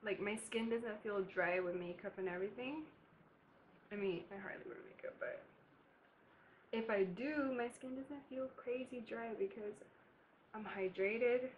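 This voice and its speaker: Young woman from Barbados, chatty makeup vlogger, mid-pitched, faint hiss in a bedroom. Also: measured pace, long pauses, low-quality recording. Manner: chatty, casual, laughing asides while applying makeup. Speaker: beauty vlogger. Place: bedroom, indoors.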